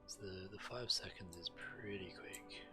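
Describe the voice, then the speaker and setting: Male streamer, low register, casual play-by-play, music clearly behind. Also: a little slow. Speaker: game streamer. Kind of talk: casual gameplay commentary. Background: video game music.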